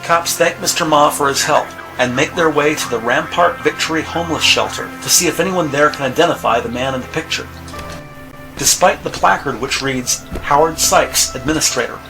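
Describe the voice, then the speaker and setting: A man reading scripted audio drama narration in a measured, descriptive storytelling manner. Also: on the high side, low-quality recording. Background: music.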